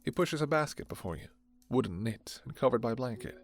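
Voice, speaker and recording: A man's voice: medium pitch, animated, a little fast, creaky, no room sound, soft background music. A man reading scripted narration in a fantasy audio roleplay. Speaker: narrator and voice performer.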